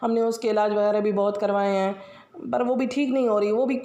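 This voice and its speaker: A woman's voice: mid-range voice, fairly even intonation, a little fast, mellow, echoey room. A woman from Pakistan with a Pakistani Punjabi accent, chatting casually about her household routine as a vlogger, her throat sore and scratchy. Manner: chatty, rambling, many fillers. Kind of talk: casual daily-routine voice-over.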